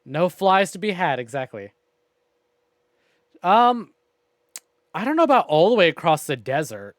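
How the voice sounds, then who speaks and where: Dry, higher-pitched male game streamer. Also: sing-song, unhurried, dry recording. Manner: wry, joking, conversational. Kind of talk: live game stream, reading aloud and casual commentary. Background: faint game music.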